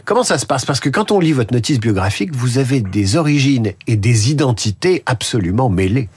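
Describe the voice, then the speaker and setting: Male radio host, husky voice, dry studio sound, asks formal and elaborate questions. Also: mid-range voice, sing-song, a little fast, darkish tone, dry recording. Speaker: radio morning show host.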